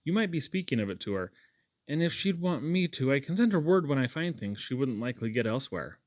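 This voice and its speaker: A man's voice: medium pitch, sing-song, quick pace, no room sound, lightly acted. A man voicing a proud, polite young lad in a storybook, speaking earnestly and directly.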